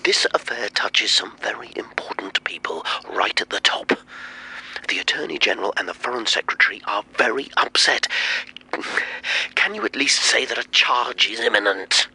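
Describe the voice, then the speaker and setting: An actor playing an agitated, pompous senior police chief in a radio drama, exasperated and officious. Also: male voice, on the low side, expressive, normal speed, creaky, sharp tone, breathy edge, whispered, exaggerated voice, poor audio. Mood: exasperated, anxious.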